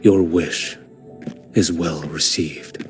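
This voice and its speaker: A grave, deep-voiced arbiter. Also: male voice, fairly even intonation, faint music behind. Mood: formal. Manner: measured, formal pronouncements. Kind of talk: video game voice acting.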